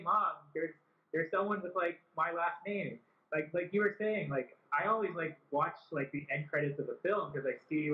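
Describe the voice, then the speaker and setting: A man talking as a guest film director in an interview, earnest and full of "like" fillers. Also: on the high side. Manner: earnest, many "like" fillers and restarts. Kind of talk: interview conversation. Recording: echoey, dull-sounding recording.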